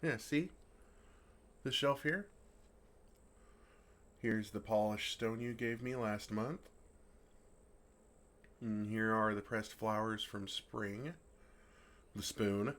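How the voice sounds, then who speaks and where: Man playing a low-voiced, naive friendly dragon. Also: slow pace, pauses often. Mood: delighted, fond. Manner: earnest, playful, puzzled, soft chuckles. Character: friendly, naive, earnest male dragon, fond of a human. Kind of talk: ASMR roleplay, intimate one-sided dialogue.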